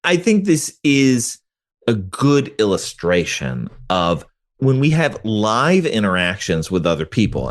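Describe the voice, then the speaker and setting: A man speaking as a guest expert in an interview, explaining research in an animated, colloquial way and acting out imagined quotes. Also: lowish voice. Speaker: guest expert, psychology professor.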